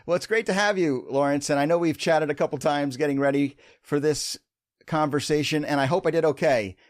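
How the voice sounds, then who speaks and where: A man's voice: on the high side, fairly quick, no echo, studio quality. A man hosting a podcast interview, upbeat and chatty, laughing easily. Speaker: podcast host.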